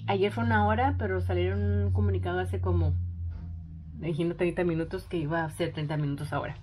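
A young woman chatting excitedly in a casual vlog, thinking aloud as she goes. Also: fairly low pitch, fast talker. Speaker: vlogger.

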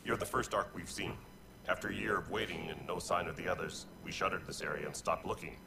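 An actor voicing a grave, weary militia leader in a video game, speaking in a measured way. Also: male voice, deep voice, fairly even intonation, gravelly. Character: gruff weary militia leader on a space station. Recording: noisy, distant capture of game audio.

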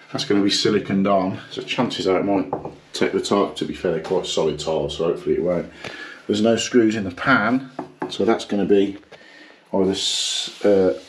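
Tradesman, forties, low voice, blunt and fed up, narrating his own job on camera with faint background noise. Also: a little mellow. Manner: frank, swearing, stressed and rambling. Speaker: plumber vlogger. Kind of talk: casual vlog with job commentary. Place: parked van, then a small bathroom.

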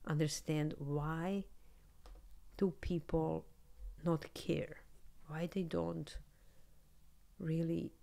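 A woman in her thirties speaks with a Serbian accent in a low, gravelly voice. She talks candidly and animatedly, close to a studio microphone in a small podcast room. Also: extremely slow, long pauses. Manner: candid, animated, laughs often. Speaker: podcast guest, artist. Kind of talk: casual interview chat. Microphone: studio mic on boom arm, headphones.

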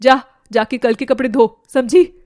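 A woman voicing a stern, bossy older mother-in-law in an animated family drama, scolding and sharp. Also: sits high, a little slow, no room sound, clean studio sound.

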